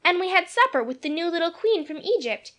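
An eager, chatty little girl, as a man puts her on in a storybook reading. The voice leaps very high above his own and bounces lively up and down as she rattles on breathlessly. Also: brisk, full character voice. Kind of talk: audiobook character voice.

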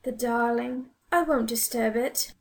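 A woman's voice: fairly high pitch, measured pace, voice pushed up. Woman putting on a gushing grand lady, raised voice, affected and vain. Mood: cooing. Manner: gushing, affected upper-class speech.

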